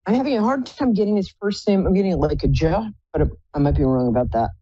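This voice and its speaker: Woman, psychic radio host, low contralto, effusive, dull lo-fi sound, dry. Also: quick pace, mellow, husky, dry recording. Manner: chatty, effusive, calls callers pet names. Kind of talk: live call-in psychic reading.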